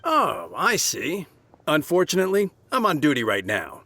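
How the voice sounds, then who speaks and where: A man's voice: fairly high pitch, wildly swooping pitch, normal speed, sharp tone, slight background noise, heavily acted. A man voicing a courteous, dutiful guardsman in a video game, polite and earnest. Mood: polite, reluctant. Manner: polite, formal.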